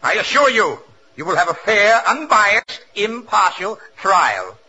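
Blustering, high-pitched radio judge. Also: male voice, very animated, measured pace, bright, forceful tone, exaggerated voice. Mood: pompous. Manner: pompous, exasperated, stammering at times. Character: blustering, biased, self-important male courtroom judge. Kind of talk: 1940s radio comedy acting. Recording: noisy, dull vintage 1940s radio recording.

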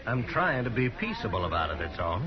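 Sly, deep-voiced gunman. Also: big pitch swings, brisk. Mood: sly, insistent. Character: tall, sly gunman feigning peaceable innocence. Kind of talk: 1950s radio Western acting. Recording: muffled old radio, crowd behind.

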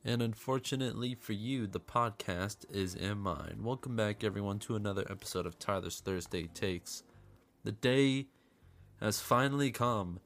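A man's voice: lowish voice, normal speed, fairly bright tone, soft background music. Snuffly man hosting a movie podcast. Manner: joking, swears freely, rambling asides. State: under the weather, a little snuffly. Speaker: podcast host reviewing films. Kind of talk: casual comedic movie review.

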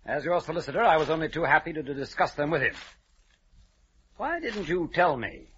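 An actor playing a proper, anxious gentleman solicitor in an old radio drama, formal, earnest and a little hesitant. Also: fairly high pitch, sing-song, a little fast. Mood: concerned, probing. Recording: dull, lightly noisy vintage radio recording.

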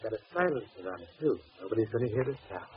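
A man's voice: lowish voice, big pitch swings, rapid-fire, slight background noise. An actor playing a menacing male gangster who poses as a ghost, with gloating, slangy tough-guy talk in a 1940s radio drama. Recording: dull old radio recording.

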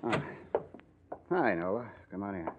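Cynical drinker, American, needling, very animated pitch, theatrical vintage radio drama, phone-like sound. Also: male voice, unhurried, noisy background. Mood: wry, teasing. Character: cynical hard-drinking male newspaper columnist, wry and needling. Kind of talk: old-time radio drama acting.

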